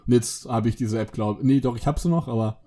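A man's voice: medium pitch, fairly quick, dark tone. A man in casual conversation, telling stories in a rambling way, breaking off and restarting his sentences. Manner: rambling, self-interrupting, laughs at own stories. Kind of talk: casual chat.

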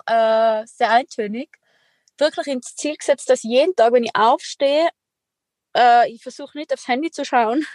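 Woman, Tyrolean accent, young adult, chatty, upbeat, slightly high voice, dry recording. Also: dry recording. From Tyrol, Austria. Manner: chatty and upbeat, laughs often, many "äh" fillers. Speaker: guest, extreme athlete and entrepreneur. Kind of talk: casual interview chat.

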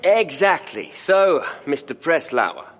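An actor playing a self-important police director with a formal, declamatory manner in an audio drama. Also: male voice, fairly high pitch, big pitch swings, slow, husky, in a large hall, heavily acted. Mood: accusing, official. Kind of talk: full-cast audio drama acting.

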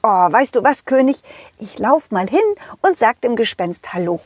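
A woman's voice: fairly high pitch, expressive, normal speed, breathy edge, dry recording. A woman voicing a confident, friendly dog hero in a children's audio story, eager, playful and reassuring. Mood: helpful, eager.

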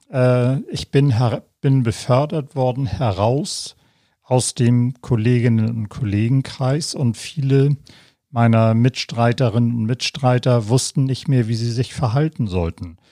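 A man in his fifties from northern Germany, hosting a podcast and telling his own story in a relaxed, reflective way, with many pauses and fillers, seated in an armchair. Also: mid-range voice, dry recording, clean studio sound. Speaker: podcast host. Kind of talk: casual personal storytelling.

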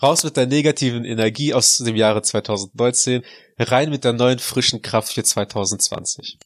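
A man's voice: mid-range voice, moderate pace, no echo. A man co-hosting a podcast, from Russia but raised in Germany, chatting in a casual, joking way. His voice sits in a middle register. The sound is dry and close, with no room around it. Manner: joking, puts on a fake Russian accent in German. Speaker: podcast co-host. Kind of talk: casual chat.

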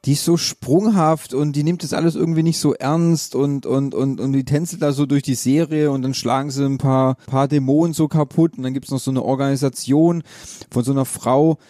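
A man's voice: medium pitch, fairly even intonation, fairly quick, dark tone, no echo, studio quality. A man co-hosting a casual podcast, retelling a series plot in a rambling way with many restarts. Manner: rambling, self-correcting retelling. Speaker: podcast co-host reviewing series. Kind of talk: casual chat.

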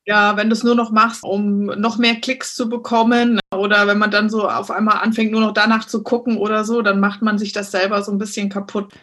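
A woman's voice: medium pitch, flat delivery, fairly quick, few pauses, fairly bright tone. A woman interviewing a podcast guest in casual German, encouraging her and often nodding along with short "mhm"s. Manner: encouraging, with lots of "mhm" backchannel.

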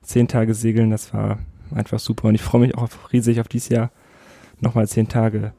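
A man's voice: lowish voice, completely flat delivery, normal speed, vocal fry, mellow, dry recording. A young man of eighteen, a guest volunteer, talking casually in short plain sentences. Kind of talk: casual chat.

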